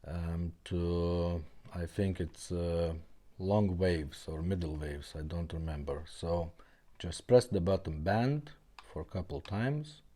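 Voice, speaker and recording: A man giving a casual hands-on product demonstration in simple, sometimes halting phrases. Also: sits low, warm tone. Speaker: car radio repairer demonstrating a retrofit.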